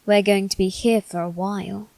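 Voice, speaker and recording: A woman's voice: mid-range voice, little pitch movement, normal speed, darkish tone, no echo, lightly acted. A woman voicing a haughty, bitter young noble boy in an ASMR roleplay, speaking formally and with cold condescension. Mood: impatient, haughty. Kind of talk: ASMR character roleplay, scripted monologue.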